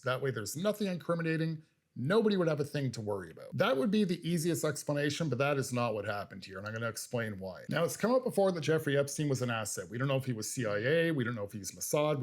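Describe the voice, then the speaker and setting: A man hosting a political commentary monologue, explaining his opinions in a conversational, emphatic way to his viewers. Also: mid-range voice.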